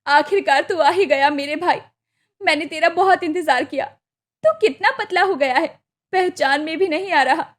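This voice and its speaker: A woman voicing an effusive, high-pitched village big sister. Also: normal speed, natural voice. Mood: joyful, fussing. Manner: effusive, fussing, affectionate. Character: loving, emotional elder sister in an Indian village. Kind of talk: moral cartoon story acting.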